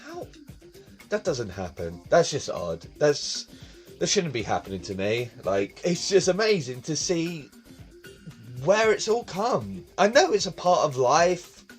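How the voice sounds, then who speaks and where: A man's voice: fairly high pitch, sing-song, measured pace, faint music behind. Chatty young man vlogging. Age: 20s. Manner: rambling, chatty, repeats himself. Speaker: vlog host. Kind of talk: casual talking-to-camera vlog. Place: his bedroom, indoors. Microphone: phone camera on tripod.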